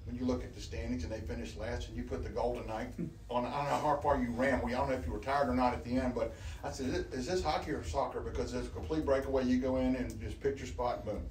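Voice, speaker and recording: A man's voice: medium pitch, fairly even intonation, quick pace, hardly any pauses, darkish tone, slight background noise. A man asking a player questions at a press conference in a chatty, rambling way. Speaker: sports reporter. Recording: distant echoey room mic.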